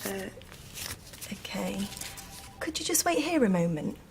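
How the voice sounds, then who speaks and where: A woman's voice: medium pitch, big pitch swings, unhurried, gravelly, a little hiss or hum. An actress playing a courteous but doubtful travel agent in an audio drama, businesslike and slightly hesitant with her questions. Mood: polite, doubtful. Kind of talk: naturalistic audio drama acting.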